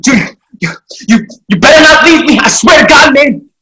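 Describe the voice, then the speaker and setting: Panicky teen boy read by a woman, shouting, high and lively, noisy take. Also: normal speed. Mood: panicked. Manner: frantic, shouting. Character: panicky young male teen, brash. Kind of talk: script table read.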